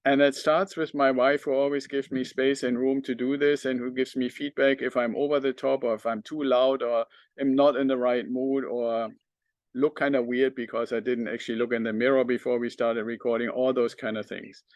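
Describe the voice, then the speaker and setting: A middle-aged man with a German accent speaks as a podcast host, addressing his audience without a script. His voice sits at an average pitch for a man, and the sound is dry, with very little room on it. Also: level tone, normal speed, no room sound. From northern Germany. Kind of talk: unscripted talk to the audience.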